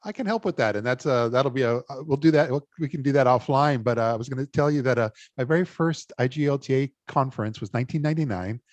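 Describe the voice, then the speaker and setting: A man hosting a casual interview, halting often with fillers and restarts as he talks over a video call. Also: medium pitch, no echo, dull audio. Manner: hesitant, many fillers and restarts. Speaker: interview host.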